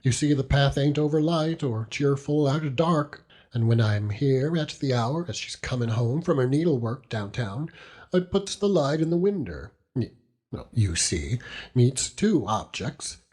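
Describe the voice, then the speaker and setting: A man's voice: mid-range voice, lively intonation, unhurried, pitched above the performer's own voice. A man voicing a doting, simple old fisherman with a rural English dialect in an audiobook. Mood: doting, tender. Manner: rambling, folksy, chuckling warmly. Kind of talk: audiobook character acting.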